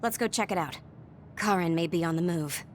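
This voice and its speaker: An actress playing a composed, observant squadmate in a video game, speaking matter-of-factly. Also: female voice, on the low side, brisk, a little hiss or hum, lightly acted. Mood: businesslike. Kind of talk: video game cutscene voice acting.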